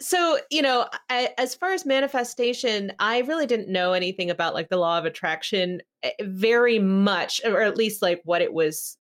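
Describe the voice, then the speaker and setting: A woman from California hosting a casual podcast chat, telling her own stories in a candid, effusive, halting way. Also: medium pitch. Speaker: podcast host.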